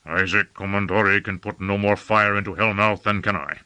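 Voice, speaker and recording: A man's voice: fairly low pitch, steady tone, moderate pace, pushed and bright, clean tone. A man voicing a stolid, blunt sorcerer in an audiobook, answering in a curt, cool, matter-of-fact way. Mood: unmoved, blunt. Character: stolid, blunt male jinxman, unmoved by anger.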